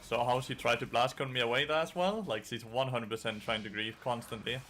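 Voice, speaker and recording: A man's voice: mid-range voice, steady tone, brisk, a bit bright, a little hiss or hum. A man with a Danish accent, casually commentating his own gameplay while streaming and answering chat. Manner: casual, swears, answers chat between plays. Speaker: game streamer and coach.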